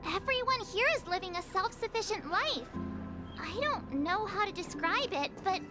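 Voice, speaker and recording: A woman playing a bubbly, naive teenage girl adventurer in a video game, perky and expressive. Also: very high-pitched, normal speed, over loud music. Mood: upbeat explaining. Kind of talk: video game character acting.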